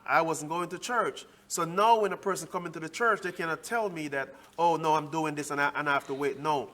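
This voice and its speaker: A man's voice: on the high side, brisk, pushed and bright. A man from Jamaica with a Jamaican accent, a minister co-hosting and chiming in with frequent agreement. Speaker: co-host, minister. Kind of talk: casual chat.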